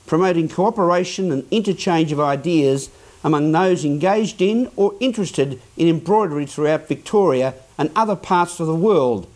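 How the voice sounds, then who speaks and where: A man's voice: on the high side, lively intonation, normal speed, a little mellow. A man with an Australian accent reading scripted links as a television news anchor.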